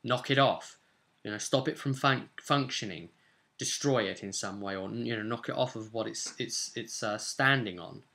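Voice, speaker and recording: A man's voice: lowish voice, moderate pace, creaky, bright, forceful tone. A British man in his twenties casually explaining as a game master on a vlog, rambling along with emphatic bursts. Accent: British English. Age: 20s. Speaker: game master, video host. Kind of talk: casual explanatory vlog about tabletop role-play. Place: small home room, indoors.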